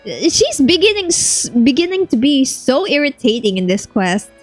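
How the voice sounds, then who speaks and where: A woman streamer reacting casually to a video game. Her voice is on the high side and swings widely in pitch, with blunt, slangy wording. Quiet music plays behind her. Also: very animated, normal speed.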